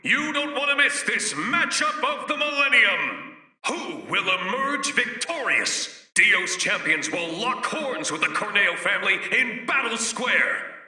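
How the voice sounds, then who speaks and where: A man playing an excitable, grandiose amusement park announcer in a video game, with a hyped-up ringside delivery. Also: high-pitched, measured pace, reverberant, distant mic, full character voice. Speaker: event announcer.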